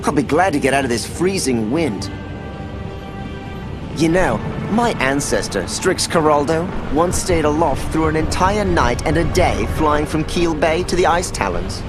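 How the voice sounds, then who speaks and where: A man's voice: a little high, expressive, unhurried. A man voicing a talkative, boastful young male owl over game music. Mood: chatty. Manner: talkative, bragging.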